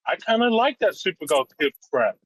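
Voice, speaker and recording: A young man co-hosting a casual film podcast, talking in a halting, unsure way. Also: high-pitched, big pitch swings, a little hiss or hum. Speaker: podcast co-host.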